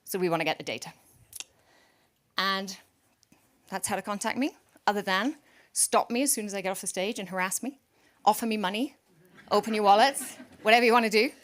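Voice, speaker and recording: A woman chief executive giving an energetic, joking startup pitch to investors from a conference stage. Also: fairly quick, long pauses.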